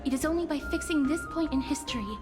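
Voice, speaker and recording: An actress playing an earnest, solemn young heroine in a video game, with a formal, poetic way of speaking. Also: female voice, sits high, quick pace, lightly acted. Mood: solemn, pleading. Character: earnest, solemn young heroine pleading to save her world. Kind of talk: dramatic video game voice acting. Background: game music.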